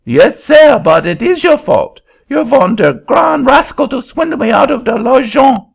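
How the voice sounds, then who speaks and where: A man voicing an excitable middle-aged Frenchman with a French accent and sputtering broken English, in an audiobook reading. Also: very high-pitched, unhurried, creaky, pitched above the performer's own voice, exaggerated voice. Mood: indignant. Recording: dull lo-fi recording.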